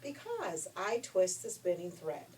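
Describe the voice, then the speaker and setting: A woman's voice: on the low side, huge pitch swings, measured pace, mellow, distant mic, a little hiss or hum. A woman voicing a strange, kindly old spinner auntie from a fairy tale in a folksy, matter-of-fact way.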